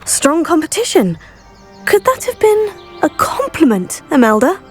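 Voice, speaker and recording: A woman's voice: high voice, huge pitch swings, measured pace, full character voice. A woman playing a polite, confident teenage student, the heroine of a video game. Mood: wry, confident. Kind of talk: video game character dialogue. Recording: game music behind. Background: game music.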